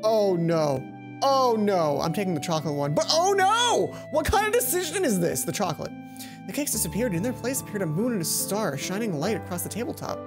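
Expressive young man narrating over game music. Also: high voice, a little fast. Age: young adult. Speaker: let's play host. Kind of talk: reading visual novel aloud with commentary.

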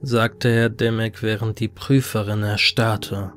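A man's voice: on the low side, level tone, a little slow, a bit bright. A man reading a scripted horror story as an audiobook narrator. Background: music.

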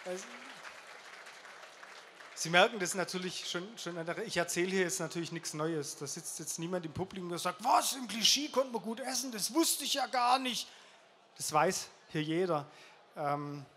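A man in his forties with a rather high voice, speaking earnestly and haltingly into a handheld mic on a gala stage. Faint noise sits behind him in the large hall. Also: animated, fairly bright tone, a faint crowd. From southwestern Germany. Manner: earnest, halting, with fillers and restarts. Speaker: jury speaker at an awards gala. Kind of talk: stage speech. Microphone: handheld microphone.

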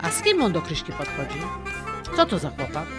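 A querulous old grandmother in a radio play. Her lowish voice swings widely as she grumbles in a folksy way, with music playing behind. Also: huge pitch swings, brisk. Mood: disapproving. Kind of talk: audio drama acting.

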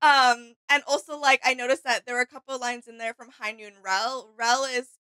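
A young woman with a bright, crisp-edged voice, a little on the high side. She chats casually as a co-host, seated indoors near the mic. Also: steady tone, moderate pace. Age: young adult. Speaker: reaction video co-host. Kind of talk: casual reaction chat. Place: small indoor room with gaming setup.

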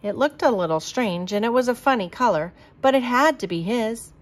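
A woman reading a children's storybook aloud in a deliberate, expressive manner. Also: on the high side. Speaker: storybook reader.

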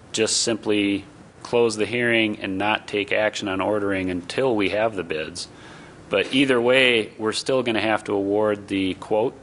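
A male city staff member explains procedural options to the council in a low, gravelly voice. He restarts his sentences often, and a faint hiss sits under his speech. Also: a bit bright.

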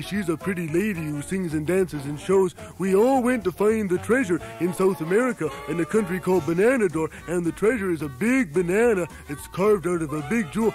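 A man plays a naive, good-hearted cartoon horse narrating his adventure in a rambling, childlike way. The voice sits high, with faint music underneath, like an old radio play. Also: a little mellow. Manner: rambling, simple and earnest. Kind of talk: 1980s radio play acting.

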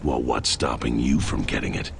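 An actor playing a gruff, hardened soldier and squad leader in a video game, with a gravelly voice and terse, growling commands. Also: male voice, rumbling low, moderate pace, a little hiss or hum. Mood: low menace. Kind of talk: video game cutscene acting.

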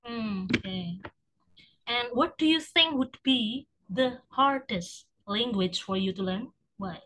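Slow, encouraging woman tutor. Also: fairly high pitch, animated, a bit bright, low-quality recording. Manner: encouraging, with short affirmations. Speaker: English speaking tutor. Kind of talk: examiner asking questions. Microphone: video call webcam.

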